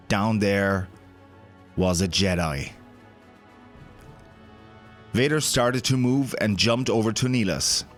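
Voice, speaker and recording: A man reading scripted, dramatic narration for a science-fiction audio drama. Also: lowish voice. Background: background music.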